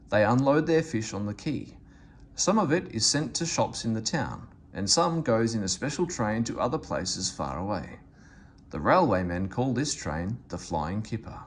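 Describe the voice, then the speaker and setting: Man, expressive storybook narration, very lively pitch, faint background noise. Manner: expressive, animated delivery. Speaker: storybook reader. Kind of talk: read-aloud children's story narration.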